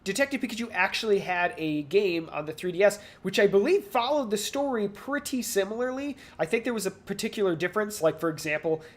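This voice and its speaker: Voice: mid-range voice, animated, fairly quick, few pauses. A podcast co-host chatting casually about pop culture, speaking in a hesitant, rambling way and often repeating words.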